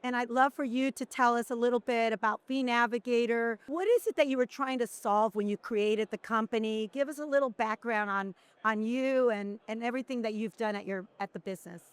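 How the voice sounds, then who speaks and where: Middle-aged female co-host, slightly high smooth voice, conversational, dry close sound. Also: steady tone, brisk, few pauses, dry recording. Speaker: podcast co-host. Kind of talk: conversational interviewing.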